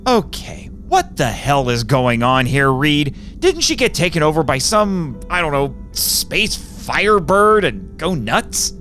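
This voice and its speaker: Cocky young hero, lively drawn-out delivery. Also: male voice, a little high, faint music behind, full character voice. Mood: exasperated. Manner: brash, swaggering. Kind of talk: audio drama acting.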